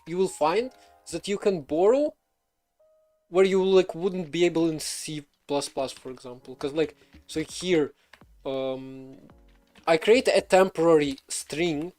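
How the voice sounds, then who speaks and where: Young guy streaming code, kinda high voice, lots of ums and restarts, faint music behind. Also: unhurried, a little mellow. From Belarus. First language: Russian. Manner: rambling, many fillers and restarts, laughs often. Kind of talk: casual live coding stream chat.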